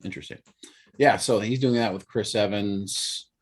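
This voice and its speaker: A man's voice: fairly low pitch, moderate pace, husky. Man in his forties, musing chatter. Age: 40s. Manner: musing, thinking aloud. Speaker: podcast co-host. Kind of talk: casual chat.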